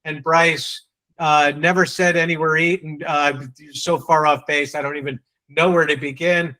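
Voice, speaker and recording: A man with a New England accent leading a live online sales training, quizzing and teasing his audience in a brisk way. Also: a little high, level tone, sharp tone, low-quality recording.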